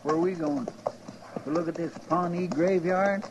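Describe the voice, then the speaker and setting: A man's voice: fairly high pitch, fairly even intonation, normal speed, natural voice. A man playing a folksy, talkative, simple frontier sidekick with a rural American drawl in a 1950s radio western. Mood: puzzled. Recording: noisy old radio recording.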